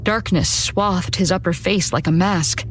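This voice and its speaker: A woman's voice: fairly low pitch, unhurried, a bit bright, a little hiss or hum. A woman narrating a first-person horror story in a dramatic storytelling style. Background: background music.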